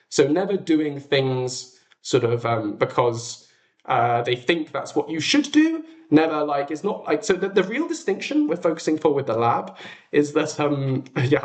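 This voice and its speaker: A British man hosting a casual livestream, chatting in a rambling, explanatory way with tangents. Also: fairly high pitch. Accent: British English. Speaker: livestream host, robotics researcher. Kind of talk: casual livestream chat to viewers. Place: small furnished flat, indoors.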